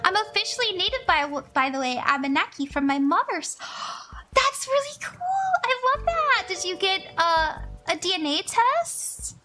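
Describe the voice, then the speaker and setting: A woman's voice: extremely high pitch, sing-song, normal speed, bright, forceful tone, soft background music. A woman psychic chatting casually on a live stream, bubbly and giggly, thanking viewers often. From Hawaii.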